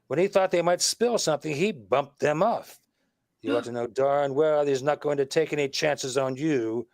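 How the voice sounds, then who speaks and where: An actor playing a shrewd, wisecracking detective who explains things with confident emphasis, in a 1940s radio mystery style. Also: male voice, medium pitch, darkish tone, no room sound, natural voice. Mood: pressing, accusing. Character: shrewd, wisecracking male detective laying out a murder case.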